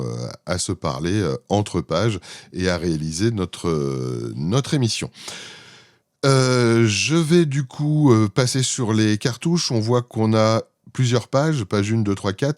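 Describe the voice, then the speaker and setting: Deep-voiced Frenchman giving an informal demo. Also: a little mellow, no room sound, clean studio sound. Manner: hesitant, improvised explaining. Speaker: presenter demonstrating his own software. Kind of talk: informal screencast product demo.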